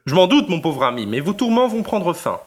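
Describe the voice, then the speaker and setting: A man playing a pompous, flattering crusading lawyer, speaking in a formal, oratorical audio drama style. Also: sits high, fairly quick. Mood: earnest, pompous. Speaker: actor in an amateur audio drama. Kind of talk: theatrical French audio drama acting.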